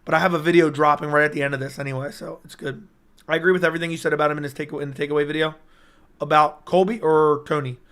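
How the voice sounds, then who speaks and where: A man's voice: a little high, level tone, very fast, fairly bright tone, smooth. A young man hosting a casual livestream and reading viewer comments, his strained voice cutting in and out as he rambles with hype. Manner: hyped, rambling, talks straight to chat. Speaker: MMA YouTuber hosting a livestream. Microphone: personal streaming mic.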